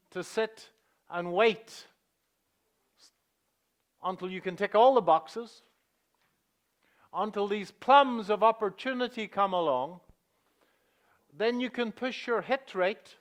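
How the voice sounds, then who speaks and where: A middle-aged man with a Northern Irish accent speaks to a conference audience from the front of a hall. His voice sits a bit high for a man, and he talks in a chatty, joking way. He fills pauses with "uh" and drops his voice low for quick asides. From England. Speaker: seminar presenter. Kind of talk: live conference presentation.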